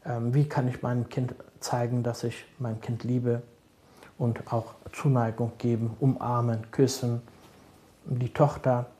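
A middle-aged man, a social worker, answering interview questions calmly and thoughtfully, often pausing to search for words. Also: mid-range voice. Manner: calm, measured, searching for words with many fillers. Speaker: social worker advising fathers.

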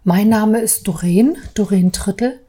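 Woman, forties, unhurried delivery, soft-edged mellow tone, personal storytelling. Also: mid-range voice, husky. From Berlin, East Germany. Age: 40s. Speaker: artist telling her own story. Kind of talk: personal account for a podcast, partly read aloud.

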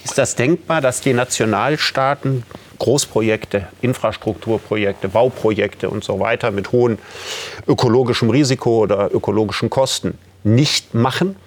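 A man's voice: mid-range voice, moderate pace. A man interviewing a guest, asking probing questions in a conversational way and building his points while thinking aloud.

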